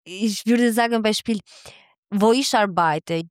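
A woman's voice: medium pitch, slow pace, dry recording, clean studio sound. A woman from Albania, a midwife whose first language is Albanian, answering interview questions cheerfully in German with many restarts and laughs. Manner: cheerful, laughs often, non-native German with frequent restarts.

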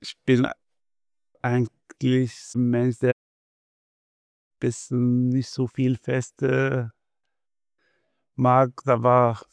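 A young man from Syria, answering interview questions in a halting way and pausing often to find his words. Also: mid-range voice, monotone, gravelly, clean tone, no room sound. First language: Arabic. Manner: halting, searching for words with many pauses. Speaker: interview guest, bank customer adviser.

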